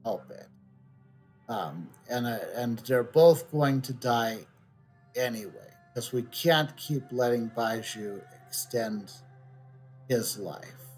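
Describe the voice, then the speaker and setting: Guy streaming a game, talks real slow and halting, thinking out loud, some music behind. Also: mid-range voice, lively intonation. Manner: halting, pensive, thinking aloud. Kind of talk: casual live playthrough commentary.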